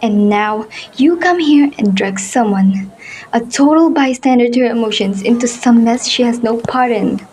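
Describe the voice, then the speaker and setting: A woman's voice: on the high side, normal speed, a little mellow, natural voice. A woman acting an indignant, easily hurt young fairy in an amateur roleplay drama, with an emphatic, dramatic delivery. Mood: hurt, angry.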